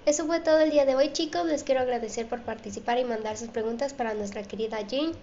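A woman's voice: sits high, fast talker, dark tone. A woman hosting an interview show, reading out audience questions and presenting to viewers. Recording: dull, echoey room with faint noise.

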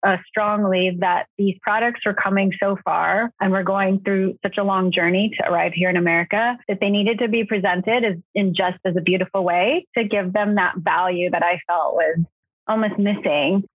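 A woman's voice: medium pitch, fairly even intonation, moderate pace, husky, dry recording. Syrian-born woman on a thin phone line. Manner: conversational, with fillers and self-corrections. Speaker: guest, co-founder of a soap brand. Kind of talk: casual interview answers. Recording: phone-like remote call audio.

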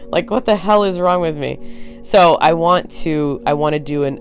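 Connecticut-accented solo podcast host, tired, frank and rambling, medium pitch. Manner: blunt and frank, swears, rambling asides. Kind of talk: casual solo podcast talk.

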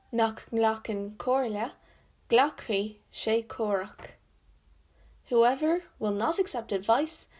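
Slow-speaking Irish woman reciting proverbs. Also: fairly high pitch, darkish tone. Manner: deliberate, measured recitation with pauses. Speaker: storyteller. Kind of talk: proverbs read aloud in Irish and English.

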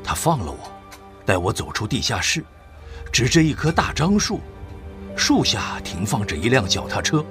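An actor playing a weary, stoic wartime official who has survived torture, in a Mandarin audio drama. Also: male voice, mid-range voice, very animated, moderate pace, a bit bright, soft background music. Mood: recounting, puzzled.

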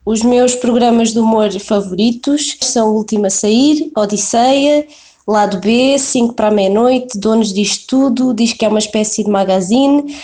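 A woman in her twenties with a European Portuguese accent, a listener briskly listing her favorites in a message. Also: on the high side, dark tone. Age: 20s.

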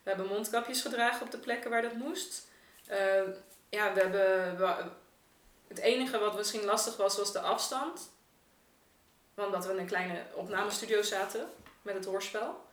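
A woman's voice: medium pitch, steady tone, normal speed. Woman, podcast guest. Thinks aloud, conversational. Roomy, distant pickup. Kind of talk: casual podcast discussion. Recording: echoey room, off the mic.

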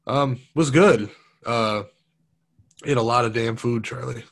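A man's voice: medium pitch, unhurried, gravelly. Laid-back man who laughs a lot. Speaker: podcast co-host. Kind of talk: casual chat.

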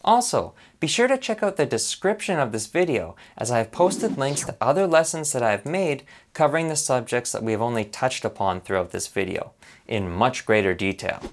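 A man from Canada talks through a beginner lesson in an upbeat, earnest way. His pitch swings widely, rising high on key words and dropping low on asides. It sounds scripted but friendly. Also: lively intonation, brisk, a little hiss or hum. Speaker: language learner presenting a lesson.

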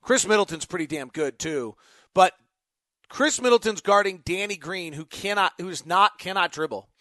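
A man's voice: high-pitched, expressive, moderate pace, sharp tone, dry recording, studio quality. An American man hosting live sports commentary, with an emphatic, conversational announcer's delivery. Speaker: sports podcast host; radio play-by-play announcer.

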